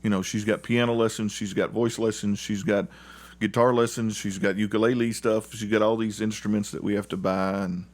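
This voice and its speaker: A man's voice: lowish voice, flat delivery, brisk. A middle-aged man speaking earnestly and plainly as a guest in a conversational interview, like a parent telling family stories.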